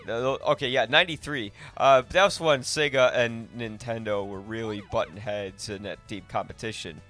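A man hosting a podcast in a casual, rambling way, often halting and correcting himself. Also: medium pitch, fairly bright tone, faint music behind. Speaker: podcast host.

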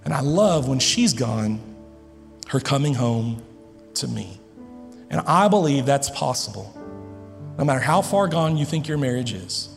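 A man preaching a sermon to his congregation, earnest and emphatic, with dramatic pauses. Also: medium pitch, expressive, vocal fry, big-room sound, soft background music. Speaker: pastor. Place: large church hall.

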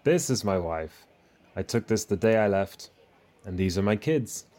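A man's voice: on the low side, lively intonation, unhurried, warm tone. An actor playing a dutiful, overworked migrant father, speaking plainly and a little wearily in a radio play. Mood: fond, musing. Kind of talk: radio play monologue and dialogue.

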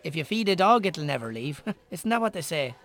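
A man's voice: a little high, big pitch swings, fairly quick, fairly bright tone. An actor in a radio drama playing a joking, bantering Irish barman in a pub. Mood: gossipy. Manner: bantering, joshing. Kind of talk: naturalistic radio drama acting.

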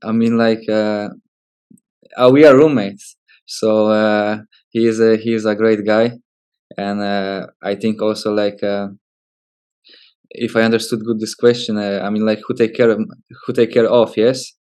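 A Polish man in his twenties with a Polish accent, giving casual interview answers as a footballer in hesitant, halting English. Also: on the low side, flat delivery, darkish tone, clear, resonant tone. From Poland. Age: 20s. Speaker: professional footballer, interview guest.

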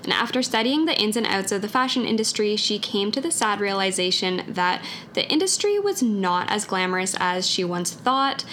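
A woman's voice: mid-range voice, a bit bright, a little hiss or hum. A woman hosting a podcast, reading a scripted intro, then asking a guest curious questions. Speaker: podcast host.

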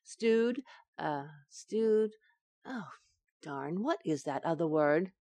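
An actress playing a feisty, playful housewife in a 1940s-style radio sitcom, with wry, teasing delivery. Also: female voice, lowish voice, animated, slow pace, warm tone, no echo, full character voice. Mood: soft, coaxing.

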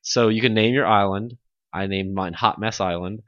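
Low-pitched, rambling man in casual chat. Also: steady tone, normal speed, fairly bright tone, no room sound, muffled recording. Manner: rambling, laughs often. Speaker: gaming podcast host. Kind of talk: casual chat about video games.

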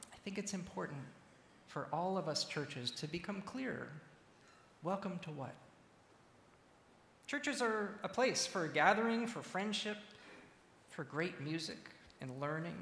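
A man preaching a sermon as a church minister, speaking in measured, deliberate phrases with pauses. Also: on the high side, a bit bright. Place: large reverberant church interior. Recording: echoey, reverberant hall with faint noise.

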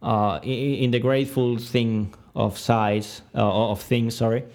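A man's voice: medium pitch, little pitch movement, measured pace, a little mellow. A man of twenty-nine from Argentina with a Spanish-speaking accent, giving reflective interview answers and often searching for words. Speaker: podcast guest.

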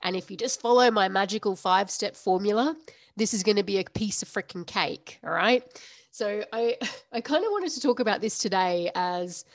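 A woman in her thirties hosting her own podcast, a little nasal and stuffy from the flu, talking frankly and unscripted with a quick laugh now and then. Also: medium pitch, lively intonation, dry recording, clean studio sound. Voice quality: slightly nasal. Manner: frank, tells it like it is, laughs often. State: stuffy nose after the flu. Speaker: podcast host. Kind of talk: unscripted business podcast monologue.

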